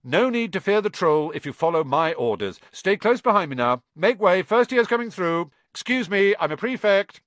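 A man's voice: high voice, sing-song, moderate pace, hardly any pauses, no room sound, pitched above the performer's own voice. A man voicing a pompous, bossy teenage boy prefect who barks orders at younger pupils. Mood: officious. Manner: pompous, officious and loud.

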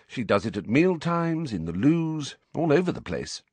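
A man voicing a bossy, know-it-all teenage schoolgirl in a storybook, brisk, precise and lecturing. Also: on the high side, sing-song, breathy edge, no room sound, pitched above the performer's own voice. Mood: vague, matter-of-fact.

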